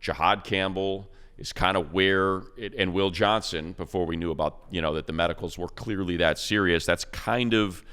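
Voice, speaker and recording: A man's voice: sits low, moderate pace, few pauses, fairly bright tone, clear, resonant tone. A man, a radio play-by-play announcer, giving casual, rambling football analysis with plenty of fillers. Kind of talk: casual sports talk.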